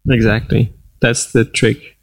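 A man's voice: mid-range voice, little pitch movement, slow pace, vocal fry, breathy edge, no echo. A man from France with a French accent, a guest talking bluntly with dry humor. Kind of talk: casual chat.

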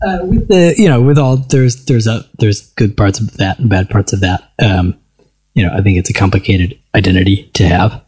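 An American man chatting at a table with friends, pausing and restarting as he thinks. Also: lowish voice, a little mellow, slightly rough, no room sound. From United States. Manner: hesitant, restarts often. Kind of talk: casual conversation. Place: seated at a table with others.

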